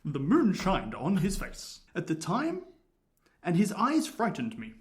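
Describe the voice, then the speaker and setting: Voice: medium pitch, huge pitch swings, unhurried, a little mellow. A podcast host voicing a frightened English man's witness account, in an attempted posh English accent. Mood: haunted, dramatic.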